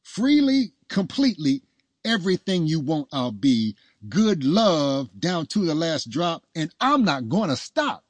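Guy doing an eager cocky young husband in a story, romantic, husky voice. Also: fairly high pitch, big pitch swings, unhurried, no echo, pitched above the performer's own voice. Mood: amorous, pleading. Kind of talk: storybook dialogue.

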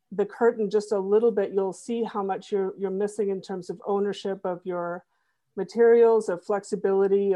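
A middle-aged woman talking through her web development work in a relaxed interview, with plenty of restarts and fillers. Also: medium pitch. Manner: thoughtful, many fillers and restarts. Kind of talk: conversational interview.

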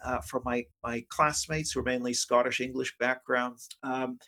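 Measured middle-aged man, academic manner. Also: medium pitch, level tone, sharp tone. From Vancouver, Canada, and partly Asia. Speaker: interview guest, politics professor. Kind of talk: thoughtful conversational interview answers.

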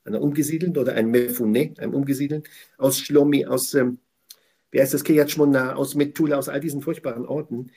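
A man's voice: mid-range voice, fairly even intonation, fast, dark tone, breathy edge. A man talking as a guest on a weekly Torah portion show, emphatic and digressive, often repeating his phrases. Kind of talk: effusive conversational talk.

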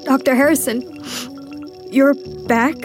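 An alarmed scientist in an audio horror drama. Her voice is high and firm, with faint music underneath. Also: fairly even intonation, takes it slowly. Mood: urgent, defiant. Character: firm, alarmed female lab researcher. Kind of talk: immersive audio drama acting.

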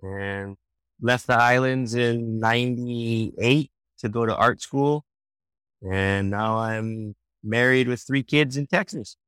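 A man's voice: mid-range voice, slow, clean tone, no room sound. A man in his forties from Hawaii, a children's book author and illustrator, talking easily as a guest in an interview and laughing now and then. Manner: relaxed, easygoing, laughs often, restarts sentences. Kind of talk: casual interview conversation.

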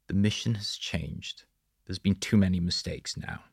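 Mercenary boss voiced by a male narrator: deep and gravelly, sarcastic, curt, threatening. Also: steady tone, a little slow, dry recording, studio quality, heavily acted. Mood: menacing, sarcastic.

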